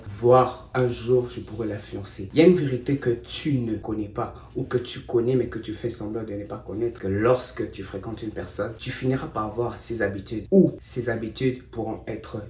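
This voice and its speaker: A man's voice: medium pitch, fairly even intonation, normal speed, few pauses, darkish tone. A man from Congo, giving a motivational talk to viewers as a content creator, stressing points emphatically. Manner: emphatic, addresses viewers directly as "guys", repeats for stress. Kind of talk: motivational self-help talk. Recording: roomy and distant with faint noise.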